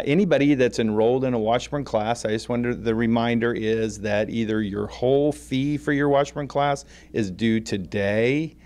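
A man's voice: mid-range voice, a little mellow. An American man in his fifties explaining things informally as an interviewed school counselor. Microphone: handheld interview microphone.

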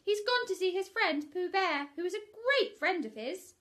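A woman's voice: very high voice, moderate pace, fairly bright tone, pitched above the performer's own voice. A woman voicing a fussy, cautious male rabbit in a children's storybook, prim and polite.

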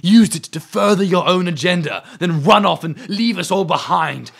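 An actor playing a desperate, self-justifying schemer in a fantasy audio drama, indignant and pleading. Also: male voice, high voice, moderate pace, creaky, a bit bright, breathy edge, exaggerated voice.

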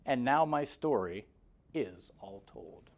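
A man in his forties reads a rhyming picture book aloud for small children. His mid-pitched voice rises and falls in an expressive sing-song. He keeps a slow, measured pace and gives key words clear emphasis. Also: background noise. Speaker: guest story reader for kindergarteners. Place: indoor room, seated in front of a banner. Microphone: lapel mic.

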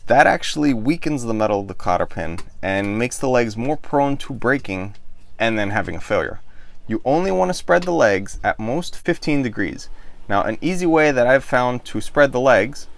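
A man in his thirties calmly explaining how to do something step by step, demonstrating with his hands in a small boat cabin, close to the camera. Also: mid-range voice, normal speed, creaky. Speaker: sailing show host. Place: small wood-paneled boat cabin, indoors. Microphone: camera close in front of the face.

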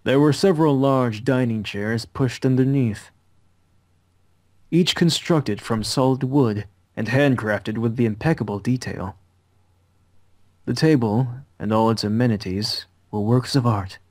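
A man's voice: medium pitch, measured pace, darkish tone, no room sound. A man narrates a visual novel, reading aloud in a mid-range voice. The sound is dry, with no room on it.

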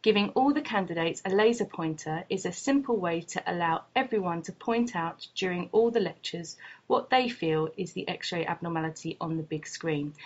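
A woman who is a doctor and course lead reads a scripted promotional narration in a formal, articulate way. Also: on the low side. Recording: muffled lo-fi voice-over.

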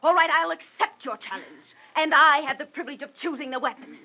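Casting: scornful grand lady, commanding, high bright voice, vintage radio drama. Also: fast talker. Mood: haughty anger. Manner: commanding, mocking. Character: haughty, scornful lady facing down rival. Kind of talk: 1940s radio acting. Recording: muffled 1940s radio recording.

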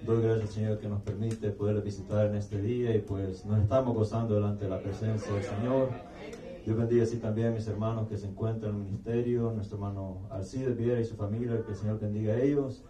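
Low-voiced young man greeting a church. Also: fairly even intonation, fairly quick, a crowd in the background. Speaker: visiting worship leader. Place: church sanctuary. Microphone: handheld mic. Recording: echoey church from far off.

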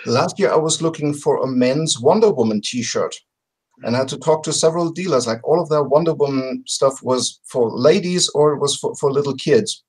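A man in his forties chatting casually as a guest on a video call, explaining things haltingly and thinking aloud. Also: mid-range voice, a little mellow, breathy edge, low-quality recording. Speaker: podcast guest. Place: home room with bookshelves. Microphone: computer mic on a video call.

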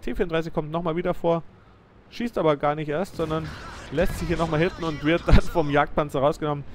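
A young man giving lively, excited play-by-play commentary over a tank game replay, praising the moves as he goes. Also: a little high, a little hiss or hum.

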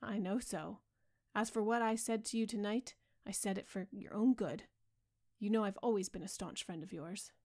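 A woman's voice: mid-range voice, moderate pace, a little mellow, close to an everyday voice. A woman reading the part of a proud, insolent young man who mocks his older friend, speaking in a hard, scornful way. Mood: mocking.

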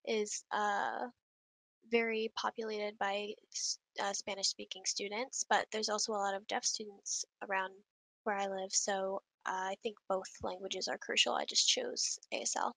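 A woman's voice: mid-range voice, normal speed, gravelly, dry recording, poor audio. A young woman, a college student, answering interview questions casually with some fillers. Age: young adult. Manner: relaxed, with fillers like um and like.

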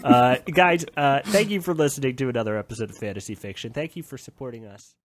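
A man's voice: mid-range voice, fast talker, a little hiss or hum. A man hosting a comedy podcast, chatting casually and playfully with profanity and bits of silly improvised singing. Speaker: podcast host.

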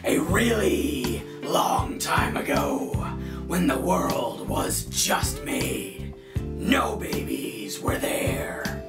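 A man playing an earnest low-budget parody Batman, telling a children's story in a breathy, growling voice with dramatic, halting delivery. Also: huge pitch swings, full character voice. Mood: mock solemn. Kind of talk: comedic story reading in character. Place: small bedroom, indoors. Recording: roomy echo, music underneath.

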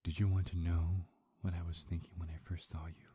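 A man playing a hungry, seductive vampire in an ASMR roleplay, murmuring and whispering close to the mic, breathy and softly menacing. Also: deep voice, flat delivery, slight background noise, lightly acted. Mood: amused, hungry. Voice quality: husky, breathy. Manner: slow, coaxing, softly menacing. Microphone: close microphone.

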